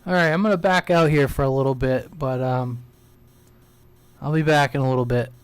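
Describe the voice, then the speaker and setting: A man's voice: medium pitch, normal speed, background noise. A man chatting casually on the radio as a CB operator, in an easygoing, informal way. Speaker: CB radio operator, live stream host.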